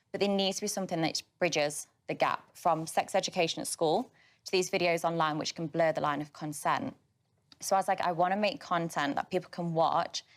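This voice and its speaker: Matter-of-fact woman in her twenties. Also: lowish voice. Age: 20s. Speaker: interviewee. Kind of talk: interview answers.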